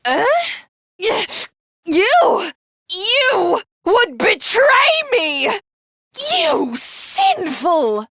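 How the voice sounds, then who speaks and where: High, haughty, theatrical villainess. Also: female voice, pushed and bright, no echo, studio quality, full character voice. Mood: outraged disbelief. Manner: grandiose, condescending, theatrical. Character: haughty, sadistic alien villainess, obsessively lovestruck, self-glorifying. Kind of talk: video game voice acting.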